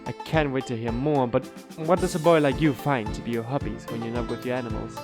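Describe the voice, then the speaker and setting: A man's voice: mid-range voice, a little fast, music in the background, natural voice. A man playing a courteous, encouraging board interviewer in an amateur audio drama, speaking formally.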